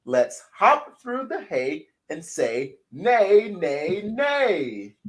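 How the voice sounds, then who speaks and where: Stuffy-nosed sick bug voiced by a man, hoarse, sweet, storybook singsong, high and bouncy pitch. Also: wildly swooping pitch, very slow, heavily acted. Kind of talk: children's picture-book read-aloud.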